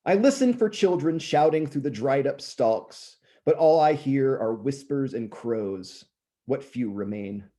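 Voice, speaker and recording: Hoarse man with a dark, mellow voice. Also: medium pitch, lively intonation. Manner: measured recitation, rambling chatty asides. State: hoarse, clearing his throat. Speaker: poet reading his own work. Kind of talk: poetry reading with casual asides.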